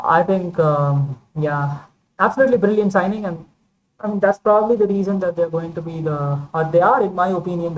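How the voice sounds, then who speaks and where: A woman's voice: fairly low pitch, a little mellow, low-quality recording. A woman talking football in a casual vlog monologue, rambling and hedging with many fillers and self-corrections. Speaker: football vlogger.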